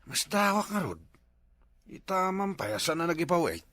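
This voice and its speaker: A man's voice: high voice, very animated, moderate pace, fairly bright tone, no room sound. A man with an Ilocano accent acting a slack, boozy, teasing village husband in a comedy skit. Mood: boastful. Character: slack, boozy village husband living off his wife's remittances.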